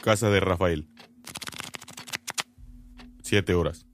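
A man's voice: sits low, very slow, noisy background. A man announcing places and times as scripted headings in a radio drama. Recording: music under the voice.

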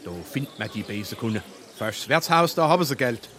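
A man's voice: medium pitch, expressive, a little fast, a bit bright. An actor playing a self-satisfied, lecturing father obsessed with newspapers, in Darmstadt dialect comedy. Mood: gossipy. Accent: Darmstadt Hessian. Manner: pompous, lecturing. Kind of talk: dialect stage comedy acting.

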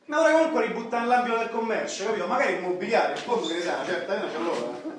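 A man performing a brash young Roman tough from the borgata, high-pitched and emphatic. He talks in boastful, confessional street slang full of rhetorical tags, heard from far off in a very echoey hall. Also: lively intonation, rapid-fire. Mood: confessional, subdued. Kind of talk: theatrical monologue in street slang. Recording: echoey hall, distant mic, audience noise.

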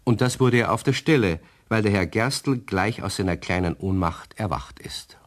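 Deep-voiced male storyteller. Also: normal speed. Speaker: narrator. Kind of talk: scripted children's story narration.

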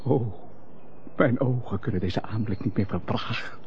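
An actor playing a wounded, noble husband and father in a radio melodrama, speaking in a grave, halting, declamatory way. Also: fairly low pitch, darkish tone, lightly acted. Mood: overcome, forgiving. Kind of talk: theatrical radio drama acting. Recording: dull radio play recording with background noise.

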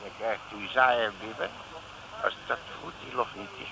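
An actor playing a cocky, self-satisfied comic detective who blusters in colloquial slang in a 1950s radio comedy serial. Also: male voice, on the low side, normal speed, creaky, pushed and bright. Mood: blustering, defiant. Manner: colloquial, blustering, tags phrases with questioning grunts. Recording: noisy vintage radio recording.

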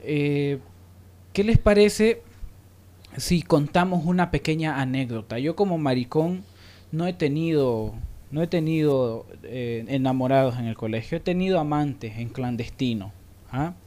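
A man's voice: on the high side, lively intonation, a little slow, a little mellow. A man hosting an unscripted radio panel, playful and probing, repeating words for emphasis. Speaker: radio talk show host.

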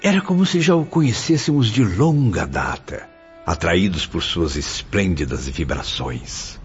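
A man's voice: low voice, animated, unhurried. An actor playing a spirit recounting his memories with solemn admiration, with a Brazilian accent, in a radio drama. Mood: reverent. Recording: muffled audio with music.

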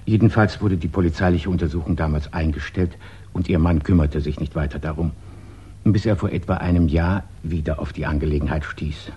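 An actor playing a businesslike, discreet private detective in a 1960s German radio crime drama, speaking formally and deliberately with small hesitant pauses. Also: male voice, very deep voice, mellow, husky, muffled recording, full character voice.

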